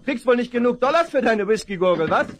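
An actor playing a brave, cheerful young rancher's son in a 1970s radio play. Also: male voice, very high-pitched, animated, quick pace. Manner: cheerful, bold. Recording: noisy old vinyl.

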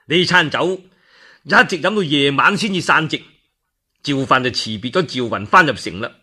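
A man with a Cantonese accent telling a story for radio in a colloquial, expressive, theatrical way. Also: a little high, moderate pace, husky. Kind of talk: animated dramatic radio storytelling.

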